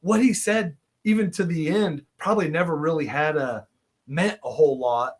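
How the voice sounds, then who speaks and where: A man's voice: on the high side, normal speed. A man in his thirties, a podcast guest, telling long rambling stories in casual chat, laughing often and restarting his sentences. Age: 30s.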